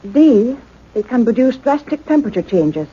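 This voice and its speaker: A woman's voice: fairly high pitch, very animated, moderate pace, breathy edge. A woman playing a composed, dutiful scientific colleague in a radio play, attentive and formal. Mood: attentive, curious. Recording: dull, noisy lo-fi radio recording.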